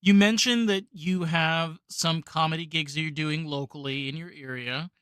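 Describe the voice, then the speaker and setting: A man's voice: fairly high pitch, steady tone, normal speed, a bit bright, smooth, no echo, clean studio sound. A man with a New York accent hosting a podcast interview, blunt and opinionated. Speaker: podcast host. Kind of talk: casual interview chat.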